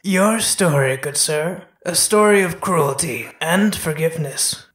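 A woman voices a deep, ominous yokai spirit in an audio story. She speaks slowly and deliberately, each word an accusation. The recording carries a roomy echo. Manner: slow, measured, accusing.

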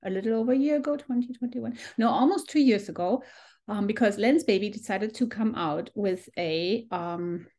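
A woman's voice: medium pitch, normal speed, dark tone. A middle-aged woman from Germany with a German accent, giving a chatty, enthusiastic photography lesson on a video call with frequent ums. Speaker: photography workshop teacher. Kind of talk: live online presentation to a small group. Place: home room on a video call. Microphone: video call computer mic.

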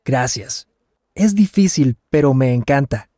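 A man's voice: medium pitch, lively intonation, mellow, no echo, studio quality, close to an everyday voice. A man playing a polite traveler in a scripted language lesson, enunciating each phrase with care. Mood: polite, friendly. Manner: deliberate, carefully enunciated. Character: polite Canadian traveler practicing his Spanish. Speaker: voice actor in language lesson dialogues. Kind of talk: scripted learner dialogue, each phrase said slowly then at normal speed.

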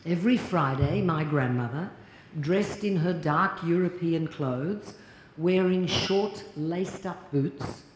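A woman, reading a formal lecture from notes at a podium in a large hall. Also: fairly low pitch. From Australia. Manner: measured, deliberate, reading from notes. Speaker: keynote lecturer. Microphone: lapel mic and podium mic. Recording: echoey hall, audible noise.

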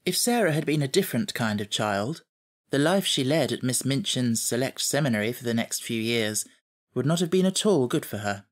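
A man reading a children's novel aloud as scripted audiobook narration. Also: medium pitch.

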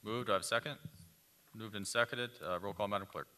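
A man's voice: fairly low pitch, steady tone, fast talker, pushed and bright. A man chairing a city council meeting as mayor, running motions and public hearings in routine procedural phrasing. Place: council chamber. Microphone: desk microphone.

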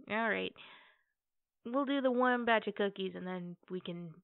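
A woman playing an affectionate, playful girlfriend in a casual, conversational ASMR roleplay, with hesitant pauses. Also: mid-range voice, gravelly, no room sound. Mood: playfully scolding. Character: affectionate, playful girlfriend baking cookies at home. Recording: tinny, phone-like band-limited audio.

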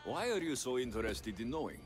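An actor with a Greek accent playing a confident, wry ancient Greek mercenary in a video game, dry and measured. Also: male voice, mid-range voice, big pitch swings, faint music behind, natural voice. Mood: wry, curious.

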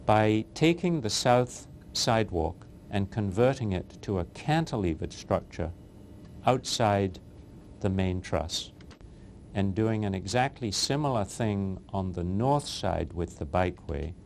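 A man's voice: on the low side, animated, a little slow, creaky, darkish tone, slight background noise. A man in an interview giving a precise technical explanation of bridge engineering.

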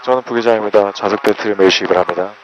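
A man's voice: fairly low pitch, fairly even intonation, racing. A man speaking as an airline first officer, making a formal in-flight announcement over a cabin PA. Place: aircraft public address system. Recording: muffled cabin PA with noise. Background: cabin noise.

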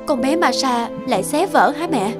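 A woman's voice: high voice, animated, normal speed. A woman voicing an anxious, loving young mother in a cartoon, earnest and emotional. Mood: concerned. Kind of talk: cartoon dubbing. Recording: music behind the voice.

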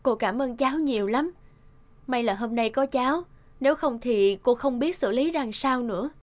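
Woman acting a high-voiced fussing cartoon mother. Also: moderate pace, close to an everyday voice. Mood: worried, grateful. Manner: expressive, scolding or fussing. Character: sharp-tongued but caring young mother minding a repair shop. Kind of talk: animated cartoon dubbing. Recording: music behind the voice.

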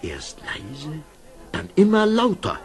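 A man narrating a scripted fairy tale as an expressive storyteller. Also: a little high, takes it slowly, a faint crowd.

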